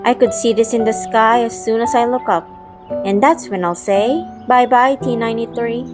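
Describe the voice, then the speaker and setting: Brief: female actor as spirited young woman, affectionate and teasing, audio drama dialogue over clear background music. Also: on the high side, moderate pace, close to an everyday voice. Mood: earnest, spirited. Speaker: first-person narrator. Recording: music bed behind voice.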